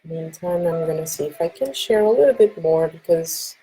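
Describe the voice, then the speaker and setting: A woman from Portugal with a Portuguese accent, hosting a live card reading. She speaks gently and reassuringly and checks in often with "okay?". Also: low voice, expressive, moderate pace, breathy edge, poor audio. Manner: soft, reassuring, often asks "okay?" and laughs. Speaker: tarot reader and live stream host.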